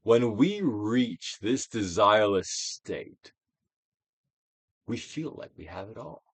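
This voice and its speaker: A man reading a book aloud as a theatrical dramatic performance, in a solemn, deliberate, declamatory manner. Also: on the low side, animated, creaky, husky, no echo. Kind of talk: dramatic audiobook reading, theatrical Shakespearean delivery.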